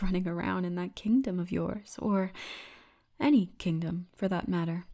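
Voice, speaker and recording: A woman voicing a friendly female orc tour guide in an intimate ASMR roleplay, speaking softly and often breathily. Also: fairly low pitch. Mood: amused, gossipy. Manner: soft, intimate, unhurried storytelling, often breathy. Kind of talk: ASMR roleplay, addressed to the listener.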